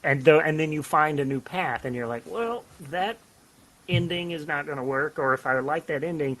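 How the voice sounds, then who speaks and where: A man talking casually as a writer on a podcast, rambling and self-deprecating in an animated way. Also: a little high, normal speed, rough recording. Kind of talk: casual podcast chat about writing. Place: home office, on a remote call.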